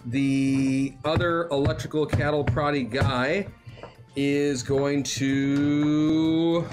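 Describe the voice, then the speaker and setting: A man at a mid pitch runs a tabletop game for his players, loose and chatty, often filling pauses with ums and laughing easily. Music plays clearly behind him. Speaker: game master. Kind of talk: running a live-streamed tabletop game.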